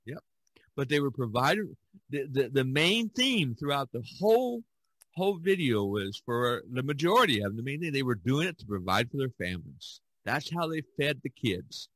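A man's voice: medium pitch, very animated, normal speed, a bit bright, no echo, poor audio. An older man hosting a casual podcast chat, rambling and often repeating his phrases. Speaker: podcast host.

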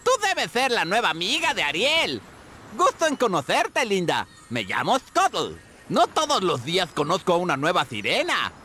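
A friendly, scatterbrained cartoon seagull. The voice is high and sharp and swings wildly up and down as it rambles and exclaims. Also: male voice, huge pitch swings, normal speed, a little hiss or hum, heavily acted. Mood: cheerful, excited. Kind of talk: animated video game voice acting.

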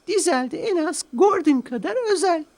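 A man's voice: extremely high pitch, expressive, moderate pace, a little mellow, voice pushed up. A man voicing a huffy young female steam engine in a children's cartoon story. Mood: regretful.

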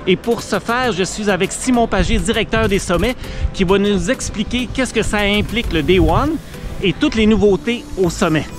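A man's voice: high voice, moderate pace. A man opening a ski video with enthusiasm, outdoors on a chairlift, filmed on an action camera. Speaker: ski vlog host. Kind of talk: upbeat video intro. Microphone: action camera on a pole. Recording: distant, with music behind.

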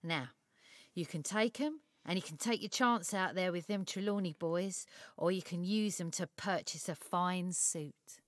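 An actress playing a shrewd assistant at a secretive tailor's shop in an audio story, talking in a blunt, wry, colloquial way. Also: female voice, on the low side, unhurried, no room sound, clean studio sound, close to an everyday voice. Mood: wry, knowing. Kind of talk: dramatised audio short story acting.

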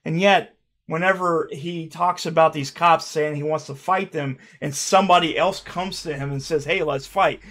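A man in his thirties reading fan comments aloud on his channel, rambling and swearing, with a stutter he mentions himself, recorded by a webcam in a dark room at home. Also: on the high side, fairly even intonation, normal speed. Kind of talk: casual reaction commentary.

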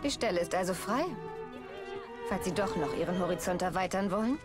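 An actress playing a confident, brisk young woman assassin in a Victorian video game, with a teasing manner. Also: on the low side, animated, loud music behind the voice, lightly acted. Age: young adult. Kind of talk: German video game dub acting.

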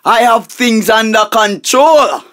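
A woman's voice: fairly high pitch, expressive. A woman playing a flustered, self-important money minister in a radio comedy, with a Jamaican accent and emphatic repetition. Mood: confident. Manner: repeats phrases emphatically, halting when agitated. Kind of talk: radio comedy drama acting.

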